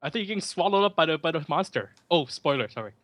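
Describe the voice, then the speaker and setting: A man giving casual, joking running commentary on a film as a host, with sardonic asides and halting, repeated words. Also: a little high, expressive, fairly bright tone, dry recording.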